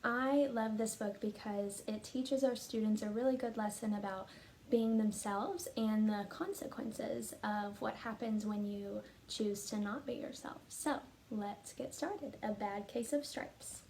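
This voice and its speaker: A woman speaking as a teacher, explaining and reading aloud in an expressive, instructive way. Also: medium pitch, a little slow, slight background noise. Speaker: teacher and reading program fellow. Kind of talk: explanatory talk, then storybook read-aloud.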